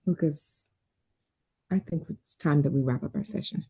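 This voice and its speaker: A woman playing a composed but worried therapist in a dramatic table read, measured and guarded. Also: low-pitched, animated, gravelly, warm tone, breathy edge, exaggerated voice. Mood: guarded, uneasy.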